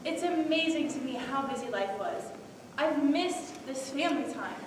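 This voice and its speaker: A woman's voice: sits high, lively intonation, moderate pace. A woman playing an upbeat, reflective family woman in a stage monologue. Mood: content, reflective. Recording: echoey hall from far off, noisy.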